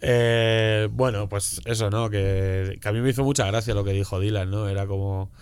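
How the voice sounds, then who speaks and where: A man's voice: lowish voice, fairly even intonation, moderate pace, darkish tone, no room sound. A man speaking as an interview guest in casual chat, colloquial and often tagging statements with questions. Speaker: guest comics author being interviewed. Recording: clear background noise.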